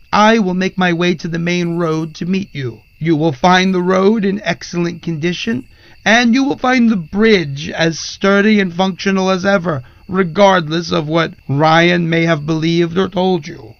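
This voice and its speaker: A sinister, courtly spirit from a horror audio drama, speaks in formal, archaic phrases with theatrical menace. The voice is rather high and gravelly, and it gloats. The sound is dry and somewhat muffled. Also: male voice, dry recording.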